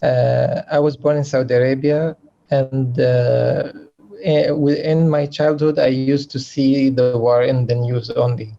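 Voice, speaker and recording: Gravelly mellow man from Palestine. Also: mid-range voice, little pitch movement, measured pace. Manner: hesitant, many fillers and restarts. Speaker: film director on a festival panel. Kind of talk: unscripted panel answer. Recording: dull, muffled sound.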